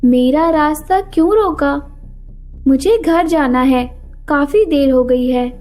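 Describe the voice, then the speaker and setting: A woman voicing an anxious, pleading little female bird in an animated children's story, with music behind her. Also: sits high, slow pace. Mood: protesting. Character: frightened young female little songbird, earnest and quick-witted.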